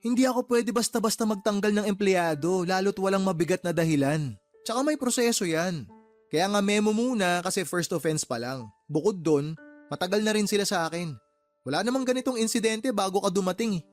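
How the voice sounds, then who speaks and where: An actor playing a firm, fair-minded uncle and shop boss who reasons and admonishes, in a Tagalog radio drama. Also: male voice, high voice, animated, fairly quick, soft background music.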